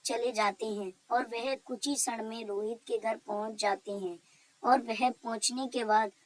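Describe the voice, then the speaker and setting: Slow, higher-pitched woman storyteller. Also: fairly even intonation, poor audio. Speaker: narrator. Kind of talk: scripted cartoon story narration.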